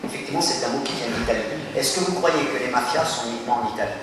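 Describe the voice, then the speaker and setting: A man's voice: mid-range voice, rapid-fire, noisy background. Man lecturing from far off in an echoey hall. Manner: animated, questions the audience, acts out little scenes. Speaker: speaker addressing students. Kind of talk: talk to a school audience.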